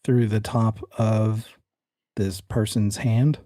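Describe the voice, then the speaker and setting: A man's voice: on the low side, steady tone, slow pace, dark tone, no echo, studio quality. A man narrating a tabletop horror game as game master, conversational and addressing the player directly. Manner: conversational, describes scenes in the second person. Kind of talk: tabletop horror game narration, part suspenseful storytelling, part casual rules talk.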